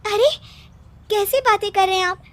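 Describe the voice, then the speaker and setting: A woman's voice: very high voice, normal speed, fairly bright tone, dry recording, voice pushed up. A woman playing an earnest, determined young village student in a cartoon story. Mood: distressed, pleading.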